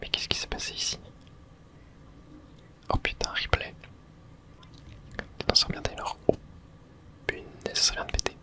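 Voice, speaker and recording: Bright, whispering ASMR gamer. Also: a little slow, long pauses. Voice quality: breathy, unvoiced whisper. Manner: murmured reactions and mild swearing. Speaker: gamer narrating own play. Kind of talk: whispered ASMR video game let's play.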